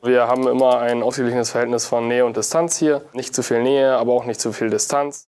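A male prison officer explains his daily work for an interview. His voice is mid-pitched and mellow, and his delivery is measured and matter-of-fact. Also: fairly even intonation, clean tone.

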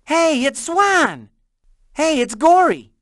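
High, sing-song little game creature. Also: female voice, big pitch swings, takes it slowly, no room sound, clean studio sound, heavily acted. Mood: cheerful. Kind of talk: short scripted video game announcements.

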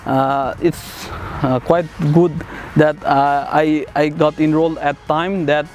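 A young man from Nepal, a master's student, talking casually about his studies with many hesitant fillers and soft chuckles, recorded on a lapel mic. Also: on the high side, little pitch movement, slow, slight background noise, low-quality recording. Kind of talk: casual testimonial interview. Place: outdoors on a city riverside path and in a bright building.

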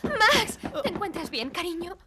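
An actress playing a sweet, fussing young gnome wife hosting guests in a cartoon. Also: female voice, very high-pitched, big pitch swings, measured pace, very noisy, full character voice. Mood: concerned. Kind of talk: Spanish-language cartoon dub acting.

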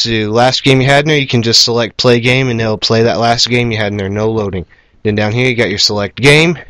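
A man's voice: medium pitch, fairly bright tone, dry recording. A man rambling casually and bluntly, with some swearing, as he hosts a homemade game hardware demo. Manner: rambling, blunt, foul-mouthed. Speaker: video host. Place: cluttered room at home. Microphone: camera microphone at varying distance. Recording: noisy home camera recording with clicks.

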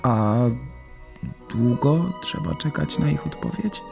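An actor playing a dazed, lovestruck wounded young soldier in a radio play, halting, naive and dreamy. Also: male voice, a little high, animated, creaky, music in the background, full character voice. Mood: shy, hopeful. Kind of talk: children's radio play acting.

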